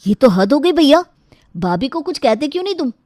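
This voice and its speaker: A woman's voice: sits high, big pitch swings, fairly quick, darkish tone, dry recording, clean studio sound, pitched above the performer's own voice. A woman voicing a teasing, outspoken young brother-in-law, informal and pointed.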